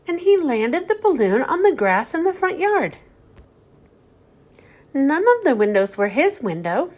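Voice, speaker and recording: Woman, high voice, slow pace, reading a picture book aloud. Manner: slow, gentle storytelling, lively rise and fall. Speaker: children's book reader.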